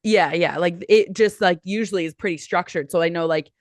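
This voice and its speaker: A woman's voice: fairly low pitch, fairly quick, no room sound, clean studio sound. A woman hosting a podcast who asks long, rambling questions full of fillers. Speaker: podcast host. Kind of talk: casual interview chat.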